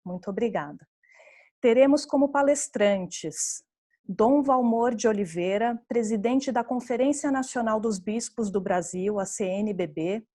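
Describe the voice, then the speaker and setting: A woman's voice: on the high side, a little slow, mellow. A woman with a Brazilian accent, hosting an online congress and formally introducing the speakers from prepared notes. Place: online video conference session.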